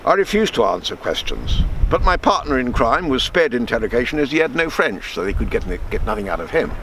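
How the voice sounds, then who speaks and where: A man, reading a true story aloud in measured, deliberate phrases. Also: mid-range voice, big pitch swings. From Alderney, Channel Islands. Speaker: storyteller reading his book. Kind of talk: read-aloud true story with spoken asides. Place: outdoors on a rocky harbour shore. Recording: outdoor wind and water noise.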